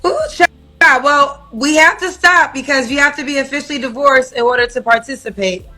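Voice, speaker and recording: A woman's voice: high voice, brisk, sharp tone, faint music behind. A woman hosting a live dating show, direct and bossy with callers, calling them honey and baby.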